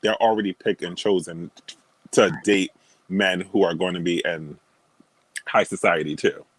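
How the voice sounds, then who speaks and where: A man's voice: low-pitched, fairly even intonation, a little slow, no echo, poor audio. A man talking as a guest on a video call, stating firm opinions with many fillers and laughing at himself. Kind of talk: casual opinionated chat.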